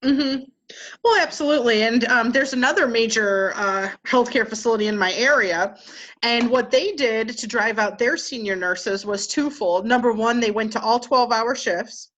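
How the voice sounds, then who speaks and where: A woman's voice: on the high side, normal speed, fairly bright tone, poor audio. Chatty woman nurse telling her story. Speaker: nurse, interview guest. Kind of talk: conversational interview.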